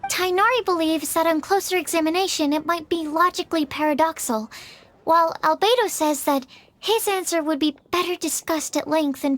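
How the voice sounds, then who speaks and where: A woman's voice: extremely high pitch, fairly bright tone. A woman playing a composed, thoughtful young woman in a video game, with polite and orderly wording. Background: faint game music.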